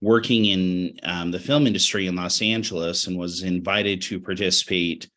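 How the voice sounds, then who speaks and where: A middle-aged American man with a slightly raspy, cold-affected voice, speaking as an interview guest in a measured, halting, earnest way. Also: sits low, steady tone, fairly bright tone. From Utah, United States. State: has a cold. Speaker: interview guest, education professional. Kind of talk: reflective webinar interview.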